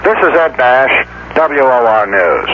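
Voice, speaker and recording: A man's voice: mid-range voice, expressive, measured pace. An American man delivering a scripted radio news report as a reporter. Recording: dull, lightly noisy vintage radio broadcast.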